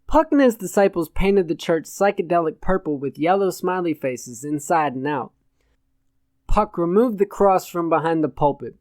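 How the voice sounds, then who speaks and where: A man narrating satirical fiction from a script in a measured, literate way. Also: on the high side, animated, darkish tone, no room sound, studio quality. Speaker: audiobook narrator.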